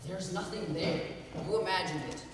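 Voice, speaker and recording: A man's voice: sits high, normal speed, close to an everyday voice. A man playing a brusque dismissive brother. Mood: exasperated. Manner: brusque, exasperated. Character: dismissive brother, impatient and defensive. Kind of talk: stage play acting. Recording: echoey hall, far from mic, noisy.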